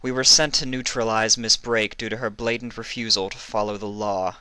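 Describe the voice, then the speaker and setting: A man's voice: on the low side, steady tone, normal speed, bright, forceful tone, clean tone, no room sound, pitched above the performer's own voice. A man voicing a brusque, impatient female pony agent in a storybook, with a blunt, barking delivery. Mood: businesslike.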